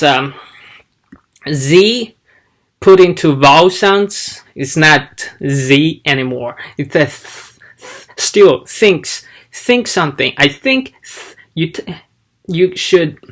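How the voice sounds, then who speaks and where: Guy w/ talking slow, lots of ums, sounding out syllables one by one. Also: on the high side, a bit bright. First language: Chinese. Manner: slow, halting, demonstrates sounds aloud. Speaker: language learner vlogger. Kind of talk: casual teaching vlog.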